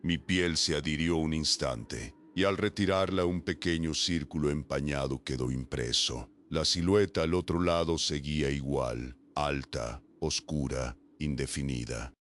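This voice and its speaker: A man reading a first-person horror story as scripted narration, with slow, dramatic pauses. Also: extremely low pitch, fairly bright tone, a little hiss or hum.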